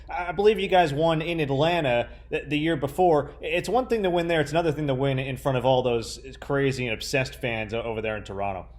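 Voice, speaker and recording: A young man hosting a sports interview, conversational, with wordy and enthusiastic lead-ins to his questions. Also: medium pitch. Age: young adult.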